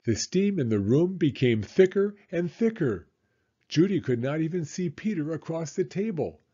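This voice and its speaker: Expressive male storyteller, animated and mellow. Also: fairly high pitch, moderate pace, slightly rough, dull audio. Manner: expressive, dramatic. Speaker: children's story reader. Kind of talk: scripted storybook narration.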